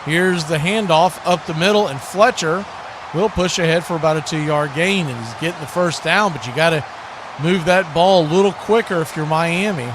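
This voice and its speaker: Male play-by-play football announcer, American, fairly high voice, clipped phrasing, clear background noise on a live stream. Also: normal speed. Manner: narrates action in short clipped phrases, repeating words. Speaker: play-by-play sports commentator.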